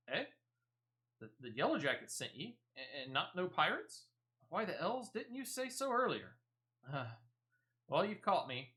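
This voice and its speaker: A shifty smuggler woman from a fantasy game, acted out by a man reading her lines. The voice is bright and pitched a little up, with rustic, clipped words. She sounds evasive and wheedling, forever pleading her own case. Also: full character voice. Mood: grumbling, defensive. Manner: rustic dropped-letter speech, pleading and evasive. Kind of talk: video game dialogue read aloud.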